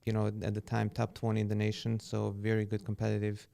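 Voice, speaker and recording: A man in his forties from Serbia, a podcast guest and real estate agent talking in a relaxed, unhurried way with frequent fillers. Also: fairly low pitch, gravelly. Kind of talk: casual interview conversation.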